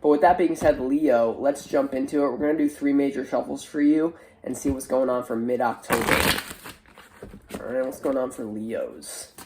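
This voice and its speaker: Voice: medium pitch, normal speed, noisy background. A tarot reader with a stuffy, nasal sound, talking in a casual, chatty and hesitant way through a spiritual reading. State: stuffy, congested nose. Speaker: tarot reader, online show host.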